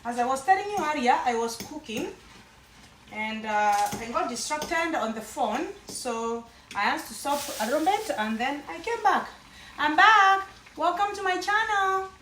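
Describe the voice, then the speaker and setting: A Kenyan woman talks her way through a home-cooked meal, chatty and wandering, often starting a thought over. Her voice sits high. The kitchen rings around her and she sounds a little way off from the mic. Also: animated, measured pace, fairly bright tone, a little hiss or hum. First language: Kenyan mother tongue. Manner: chatty, rambling, with frequent restarts. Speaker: cooking vlogger. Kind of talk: casual cooking demonstration. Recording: echoey room, mic at a distance.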